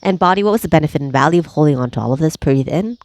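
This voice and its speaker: Low, creaky woman guiding a clearing. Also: lively intonation, darkish tone, no echo. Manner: slow, soothing, repetitive guiding with breathy sighs. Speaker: energy healing facilitator. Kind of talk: guided energy clearing session.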